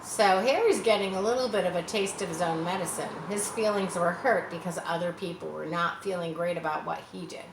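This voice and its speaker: A woman with a fairly low voice reads a children's book expressively, stopping to explain things in a chatty way. She sounds a little far from the mic in an echoey room, with faint noise behind her. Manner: expressive, pauses to explain to young listeners. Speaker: storybook reader. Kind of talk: children's read-aloud with chatty asides. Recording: roomy, distant mic, faint background noise.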